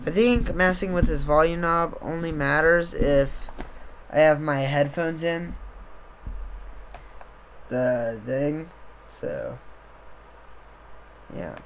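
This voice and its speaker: Young man, slightly hoarse, rambling stream chat, desk mic, faint hiss. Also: fairly high pitch, slow, long pauses, fairly bright tone. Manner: rambling, meandering, many fillers. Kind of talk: casual live-stream chat. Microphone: desktop USB mic on a boom arm.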